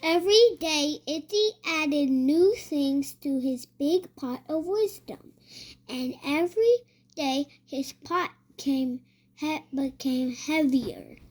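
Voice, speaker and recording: A child reading a storybook aloud haltingly, sounding out words and repeating them. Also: high voice. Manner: hesitant, sounding out words, repeating after prompts. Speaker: young reader.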